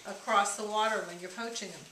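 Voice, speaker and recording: A woman's voice: mid-range voice, steady tone. A middle-aged woman visiting a small farm store, chatting as a curious customer and exclaiming at what she learns. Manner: enthusiastic, quick to exclaim. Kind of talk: casual chat. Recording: echoey room, background noise.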